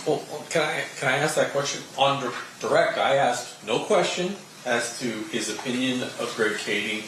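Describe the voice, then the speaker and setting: A man's voice: medium pitch, fairly bright tone. An American man arguing as a trial attorney, emphatic and argumentative, pressing his points with rhetorical questions. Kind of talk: spontaneous legal argument in court. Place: indoor courtroom. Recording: very echoey, distant mic, faint noise.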